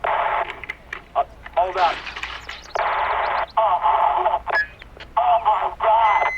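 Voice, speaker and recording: Through a tinny, very noisy police radio comes a horrified policeman. His male voice is high and urgent, shaken as he reports in. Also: extremely slow. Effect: police radio filter. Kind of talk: modern audio drama acting.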